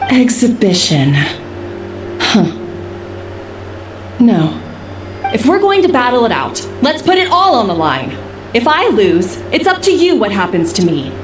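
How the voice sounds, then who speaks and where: An actress playing a proud, hot-tempered female chef who talks in a sharp, taunting way in a video game. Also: fairly high pitch, wildly swooping pitch, unhurried, long pauses, background noise. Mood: defiant. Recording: echoey, music behind the voice.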